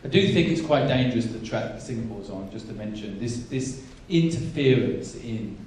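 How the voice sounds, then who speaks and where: A man's voice: mid-range voice, lively intonation, moderate pace, darkish tone, a little hiss or hum. A man speaking bluntly and emphatically as a panelist, often restarting his sentences. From England. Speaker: panelist, angel investor. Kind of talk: panel discussion. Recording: echoey hall, distant mic.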